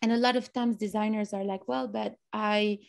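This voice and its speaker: A woman's voice: mid-range voice, fairly even intonation, normal speed, creaky. A woman in her thirties hosting a casual online talk over a video call, eager and full of fillers. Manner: enthusiastic, many fillers. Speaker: host. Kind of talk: casual interview chat. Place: small room indoors.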